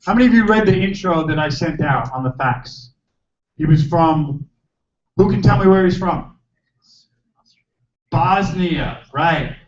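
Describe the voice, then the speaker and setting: A man's voice: on the high side, unhurried, long pauses. A man hosting a live event, giving a jokey, hyped stage introduction to the crowd. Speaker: event host introducing a speaker. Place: event hall. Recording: echoey room, distant mic, dull sound.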